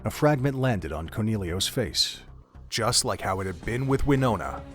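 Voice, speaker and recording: A man's voice: fairly low pitch, animated, normal speed. A man reading scripted narration for an audio drama in a dramatic storytelling manner. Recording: music under the narration.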